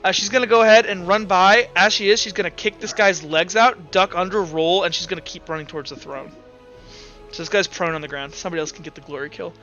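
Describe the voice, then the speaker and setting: Game master, male, high forceful voice, informal tabletop narration, lo-fi sound over music. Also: fast talker. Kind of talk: casual tabletop roleplay narration and rulings.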